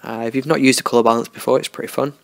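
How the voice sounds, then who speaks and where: Gravelly man teaching software. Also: mid-range voice, rapid-fire, dry recording. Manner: relaxed, unscripted, thinking aloud with fillers. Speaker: tutorial host. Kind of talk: screen-recorded software tutorial.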